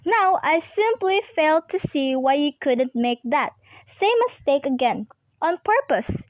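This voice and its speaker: A high-pitched, dryly recorded woman playing an anxious invalid wife in a radio drama. She is fretful and imperious, stumbling over words as she makes her demands. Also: expressive, unhurried, no echo. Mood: indignant, impatient. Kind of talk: amateur radio play acting.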